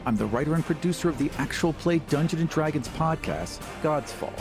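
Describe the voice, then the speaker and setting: A man's voice: a little high, expressive, a little fast, music in the background. A man co-hosting a podcast, presenting and chatting. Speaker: podcast co-host, writer and producer.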